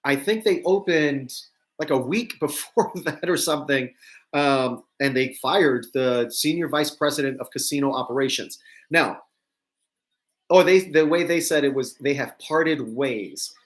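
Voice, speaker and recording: A man's voice: medium pitch, measured pace. A man from New York with a mixed American accent, touches of New York and Southern, hosting a casual live news chat and rambling to viewers with frequent ums and asides. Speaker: host of a live news show.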